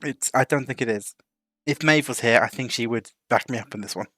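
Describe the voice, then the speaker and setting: A man's voice: medium pitch, a little fast, a bit bright, dry recording, studio quality, soft background music. Stammering man in a tabletop roleplay. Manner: hesitant, stammering. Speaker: tabletop player. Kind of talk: in-character roleplay.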